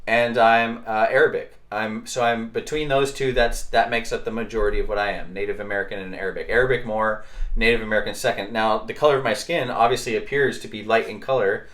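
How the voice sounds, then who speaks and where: A man's voice: on the low side, fairly even intonation, fast talker, a bit bright. A man hosting a podcast, talking casually with lots of fillers and rhetorical questions. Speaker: podcast host. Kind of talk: casual discussion.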